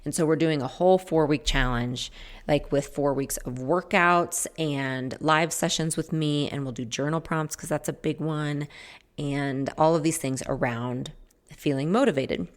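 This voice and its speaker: Low-voiced woman in her forties, chatty. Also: unhurried. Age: 40s. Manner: chatty, rambling, corrects herself mid-sentence. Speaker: podcast host. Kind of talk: casual solo podcast talk.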